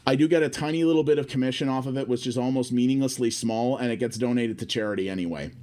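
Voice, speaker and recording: A man's voice: medium pitch, fast, darkish tone. Chatty man streaming a game. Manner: chatty, thinking aloud while clicking through menus. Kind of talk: casual live game first-impressions commentary.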